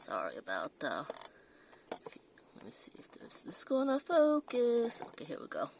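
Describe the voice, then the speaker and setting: A woman's voice: on the high side, huge pitch swings, a little slow, a little mellow, a little hiss or hum. A woman with a non-native accent reviewing perfume in a casual, hesitant way, full of fillers and restarts. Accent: non-native English accent. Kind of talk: casual product review vlog.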